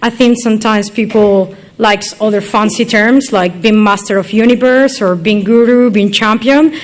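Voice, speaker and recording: A young woman from northwest Spain speaks English with a Spanish accent at a conference. Her voice sits at a middle pitch, and her delivery is loose and chatty, full of fillers and self-deprecating asides. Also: fairly even intonation, moderate pace. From Galicia, northwest Spain. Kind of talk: conference talk. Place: conference hall.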